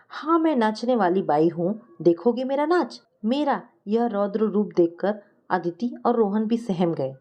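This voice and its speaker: A woman narrates a family story in the first person, as a storyteller reading from a script. Her voice sits at a middle pitch for a woman. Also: mellow.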